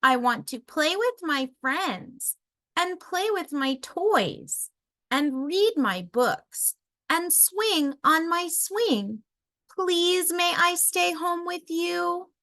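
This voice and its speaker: A woman's voice: very high voice, expressive, extremely slow, bright, forceful tone, voice pushed up, exaggerated voice. A woman voicing a little boy raccoon, an anxious, affectionate child with a plaintive way of talking, in a storybook reading. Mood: pleading, tearful.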